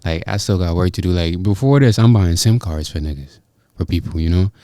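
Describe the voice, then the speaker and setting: A young man co-hosting a podcast in casual, slangy, animated chat. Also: sits low, fairly quick, dry recording, clean studio sound. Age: young adult. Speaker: podcast co-host.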